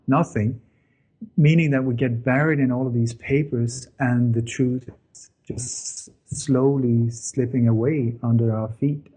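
Husky man. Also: medium pitch, darkish tone, rough recording. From born in Denmark, grew up in Sweden. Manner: earnest, with frequent fillers and self-corrections. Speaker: interview guest, former journalist. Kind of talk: casual interview storytelling.

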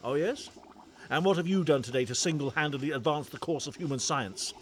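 A man's voice: on the high side, fairly quick, slight background noise, close to an everyday voice. A man playing a proud, eccentric Victorian inventor in an audio comedy, grandiose and easily defensive. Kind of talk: scripted audio comedy acting.

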